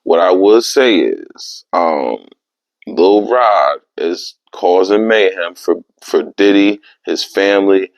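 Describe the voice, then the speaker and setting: A young man hosting his own podcast, talking in a casual, animated way with streetwise slang. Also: low voice, slow pace, no room sound. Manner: animated, streetwise slang, repeats "you know what I mean". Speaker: podcast host. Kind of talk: casual solo podcast monologue. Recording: dry, slightly dull lo-fi sound.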